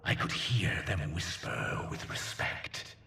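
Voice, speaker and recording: A man playing a proud, menacing alien conqueror in a video game, speaking in a grandiose, theatrical, deliberate way with hushed emphasis. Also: low-pitched, expressive, echoey room, far from the mic, heavily acted. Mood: gloating menace. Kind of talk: dramatic video game villain monologue.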